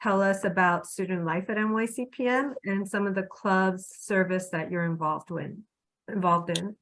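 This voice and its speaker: A woman's voice: medium pitch, moderate pace, fairly bright tone, poor audio. Woman moderating an online information session.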